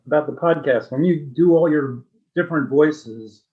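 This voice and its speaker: A man's voice: a little high, moderate pace. A man in the audience asking a polite, slightly hesitant question. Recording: dull lo-fi sound.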